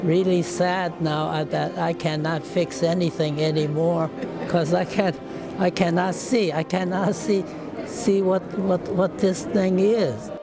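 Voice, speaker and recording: Older male veteran, nineties, slightly high voice, halting recollection with chuckles. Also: fairly even intonation, over music. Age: 90s. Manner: halting, many restarts, chuckles often. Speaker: war veteran. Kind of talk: casual reminiscing.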